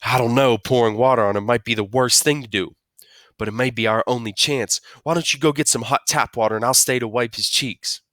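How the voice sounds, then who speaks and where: A man's voice: medium pitch, steady tone, fairly quick, a bit bright, dry recording, studio quality, voice pushed up, close to an everyday voice. A man voicing an amused father in his thirties who teases wryly in a family story. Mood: amused bafflement. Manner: wry, mocking, suppressing laughter. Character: amused, teasing father aged thirty-five.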